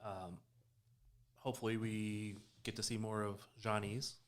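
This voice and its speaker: A man's voice: fairly low pitch, level tone, measured pace. An American man in a casual sports discussion, speaking as a podcast panelist.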